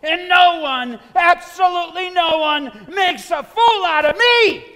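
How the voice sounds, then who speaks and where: A man's voice: very high-pitched, animated, measured pace, pushed and bright, exaggerated voice. A man playing a vengeful, boastful street villain in a staged musical drama, menacing and declamatory. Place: theater stage at a staged reading. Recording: echoey concert hall, faint music.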